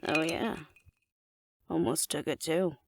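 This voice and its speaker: Woman playing a flirty, gravelly alpha werewolf woman. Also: sits low, slow, a little hiss or hum. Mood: wry, wistful. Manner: teasing, coaxing, playfully domineering. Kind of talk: intimate scripted audio roleplay addressed to the listener.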